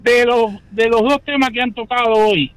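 A man calling in to a radio show, giving his opinion in an earnest, measured way. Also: very high-pitched, fairly even intonation, a bit bright, smooth, no room sound, rough recording. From Cuba. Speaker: caller.

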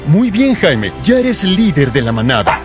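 A male announcer reads a radio ad with a playful, dramatic delivery and a lively, swinging pitch. Music plays clearly behind him. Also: a little slow. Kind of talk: radio ad narration.

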